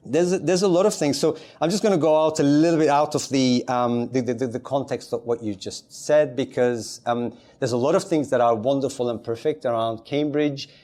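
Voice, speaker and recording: A man's voice: medium pitch, steady tone, brisk, hardly any pauses, dark tone. A man from Turkey, a podcast guest, chatting casually and rambling with many fillers and restarts. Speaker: podcast guest, community advisor. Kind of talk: casual chat.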